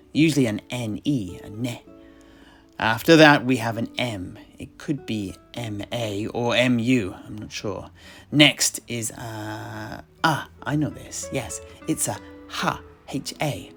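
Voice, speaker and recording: A man's voice: fairly low pitch, fairly bright tone, husky, exaggerated voice. Man playing a genial scholarly tavern keeper, music behind. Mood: intrigued, puzzling. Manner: hesitant, musing, polite. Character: genial tavern keeper and amateur historian, bookish, fond of drink. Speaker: host and narrator of a fictional history chat. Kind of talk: in-character lore storytelling, unhurried. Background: guitar-like instrumental music.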